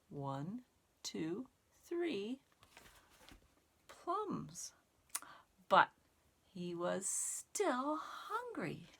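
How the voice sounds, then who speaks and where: A woman reads a picture book to small children, one careful phrase at a time. Her pace is extremely slow and deliberate. Her lowish voice moves up and down expressively and has a creaky, gravelly edge. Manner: simple, expressive phrasing aimed at young children. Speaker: storytime reader and sign language teacher. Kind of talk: children's read-aloud and sign lesson.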